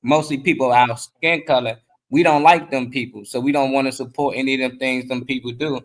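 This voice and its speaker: Conversational man with mid-pitched voice. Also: fairly even intonation, fairly quick. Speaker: talk show panelist. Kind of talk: casual opinion talk.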